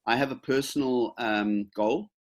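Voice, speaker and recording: A man's voice: mid-range voice, fairly even intonation, a little slow. A man in his fifties answering questions on a video call, casual and hesitant, with many fillers and restarts. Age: 50s. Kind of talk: casual webinar Q&A.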